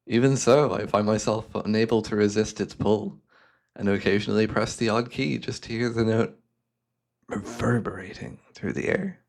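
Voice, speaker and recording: A man playing a devious, cultured hypnotist and collector in a fantasy ASMR roleplay, speaking in florid, theatrical phrases with a softly coaxing manner. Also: fairly low pitch, fairly even intonation, normal speed, vocal fry. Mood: entranced, soothing.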